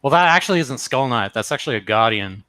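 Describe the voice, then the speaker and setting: A man's voice: mid-range voice, animated, fast talker, sharp tone, no echo. A man chatting casually and crudely about his comic pages, laid-back and joking. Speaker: comic artist co-host. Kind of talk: casual chat.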